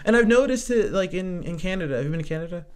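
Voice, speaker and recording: A man's voice: high-pitched, animated, fast talker, smooth. Chatty man saying "like" a lot. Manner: rambling, heavy use of "like", swears casually. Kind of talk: casual chat.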